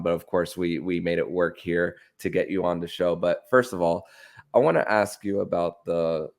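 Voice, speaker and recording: A man hosting a conversational sports podcast interview, speaking haltingly with repeated words and restarts. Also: deep voice.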